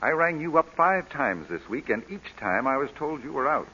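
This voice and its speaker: Suave English lord, lively and bright. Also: male voice, a little high, moderate pace, husky, heavily acted. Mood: flirtatious, wry. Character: suave, flirtatious English lord, amused and insistent. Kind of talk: 1940s radio acting. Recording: muffled old radio broadcast, music behind.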